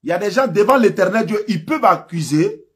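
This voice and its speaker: A man's voice: high-pitched, lively intonation, fast, a bit bright, husky. A man with an Ivorian French accent hosting a live religious phone-in, talking informally to listeners and callers. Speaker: host of a religious call-in live stream. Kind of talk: live phone call-in talk.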